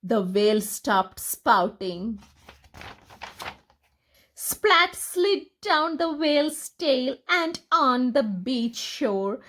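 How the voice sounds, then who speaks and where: A woman reading picture books aloud to young children in an expressive, deliberate storytelling manner. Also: high voice.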